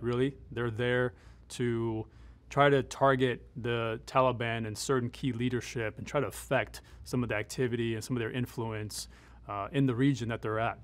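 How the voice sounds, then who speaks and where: A middle-aged man speaking as a military advisor in an interview, explaining things in a conversational, measured, matter-of-fact way. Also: medium pitch. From United States, born in Afghanistan. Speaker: military advisor and former Green Beret.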